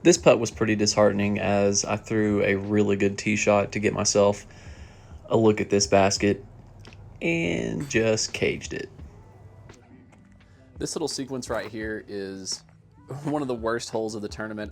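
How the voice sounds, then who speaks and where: Low-pitched man narrating sports highlights over music. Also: normal speed, creaky. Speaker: amateur disc golfer narrating own highlights. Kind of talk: casual voice-over commentary.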